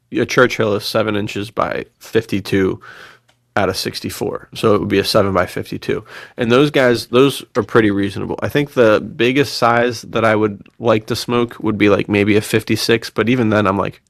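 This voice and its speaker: Guy podcaster, lowish gravelly voice, super into it, bro slang, dry close sound. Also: fairly even intonation, moderate pace, dry recording. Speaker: podcast co-host. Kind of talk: casual chat.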